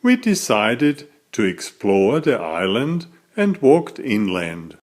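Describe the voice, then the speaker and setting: Slow, very animated male storybook reader. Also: mid-range voice. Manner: deliberate, dramatic storytelling. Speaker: children's book author reading aloud. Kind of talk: expressive scripted storybook narration.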